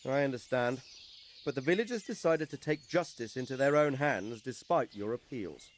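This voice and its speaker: A brisk medieval lord voiced by a man in a video game, commanding and inquisitive. His mid-pitched voice moves up and down freely, with faint noise behind it. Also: lively intonation, natural voice. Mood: stern.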